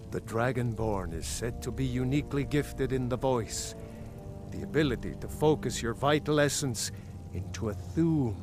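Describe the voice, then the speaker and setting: A man plays a lordly fantasy game ruler, grave and full of authority. He speaks in a measured, weighty way at a medium pitch, with music clearly behind him. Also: close to an everyday voice. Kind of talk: fantasy video game acting.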